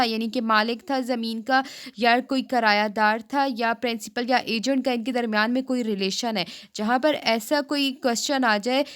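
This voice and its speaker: A Pakistani woman giving an informal explanatory lecture, halting and repetitive, switching into English for technical terms. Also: on the high side, clear, resonant tone. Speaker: law lecturer for exam aspirants.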